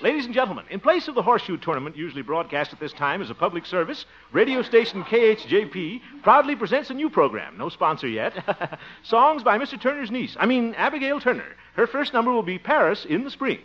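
A man's voice: sits high, sing-song, a little fast, fairly bright tone. An American actor playing a blustering, scheming middle-aged man in a 1950s radio sitcom, chuckling and muttering asides. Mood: announcing. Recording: muffled, noisy old 1950s radio recording.

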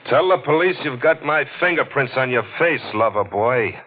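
A man's voice: mid-range voice, lively intonation, moderate pace, slight background noise, close to an everyday voice. An American actor playing a tough, cynical newspaperman sleuth in a noir radio drama, with clipped, slangy, pressing delivery. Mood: aggressive, accusing. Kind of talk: 1940s radio noir acting with narration. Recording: muffled old radio drama recording.

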